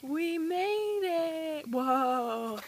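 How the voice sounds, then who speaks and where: A young woman filming a casual road trip vlog, teasing and excitable, recorded on a handheld phone outdoors and in a car. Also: very high-pitched, extremely slow. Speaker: vlogger. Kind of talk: casual travel vlog chat.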